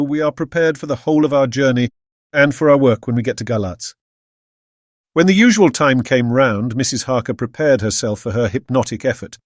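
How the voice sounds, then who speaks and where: A man with a British accent playing an earnest Victorian gentleman, narrating his diary in a measured, solemn way. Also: mid-range voice, dry recording, clean studio sound, lightly acted. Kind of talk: scripted dramatic audiobook narration.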